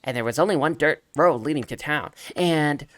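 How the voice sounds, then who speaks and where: A man's voice: a little high, moderate pace, a bit bright, no echo, lightly acted. A man voicing an eager, nerdy young history buff in a cartoonish animal video game. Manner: earnest, fussy, rattles off facts.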